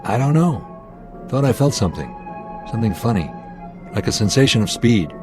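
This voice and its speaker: A man's voice: on the low side, sing-song, normal speed, over music. An actor playing a confident male airline captain in a radio drama, speaking with clipped authority. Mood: uneasy, puzzled.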